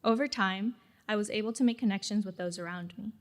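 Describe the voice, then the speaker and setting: A woman's voice: mid-range voice, fast talker. A teenage girl giving a prepared graduation speech, reading in a reflective, earnest way. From Mexican-American family in California. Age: late teens. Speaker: graduating student speaker.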